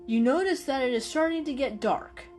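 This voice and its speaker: Woman acting a kindly storybook tomcat, reassuring, chatty, raised pitch, music behind. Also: moderate pace, a little mellow, lightly acted. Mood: cheerful, helpful. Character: friendly, reassuring adult male golden cat.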